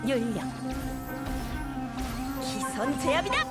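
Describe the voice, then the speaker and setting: Commanding game fighter, high voice, slow terse spell calls, loud music all over it. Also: female voice, wildly swooping pitch, exaggerated voice. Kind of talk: video game battle calls in Korean.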